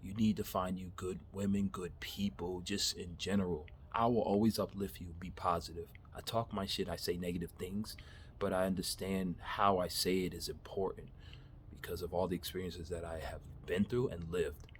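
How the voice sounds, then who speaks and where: Man in his thirties, deep low voice, candid rambling motivational talk, faint background hiss. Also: fairly even intonation, normal speed. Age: 30s. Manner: rambling, candid, laughs at himself, swears casually. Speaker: vlogger. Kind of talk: casual motivational monologue. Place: backyard.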